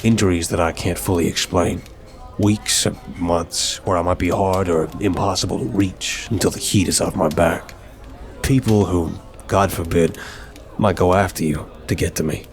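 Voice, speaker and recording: A man's voice: sits low, fairly even intonation, normal speed, soft background music. A man playing an apologetic, flirtatious mafia boss who talks to a date in a teasing, earnest, affectionate way, in an ASMR roleplay. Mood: earnest, solemn.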